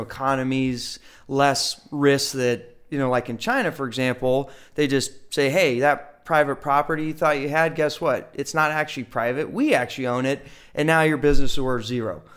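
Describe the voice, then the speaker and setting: Male guest, mid-pitched smooth voice, blunt and enthusiastic. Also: a bit bright. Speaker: guest, firm CEO. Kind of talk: casual interview answers.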